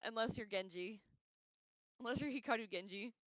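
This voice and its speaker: A woman's voice: on the high side, normal speed, a bit bright, no echo. A woman hosting a casual, rambling livestream discussion with an irreverent, slangy manner, on a slightly dull microphone. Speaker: livestream host.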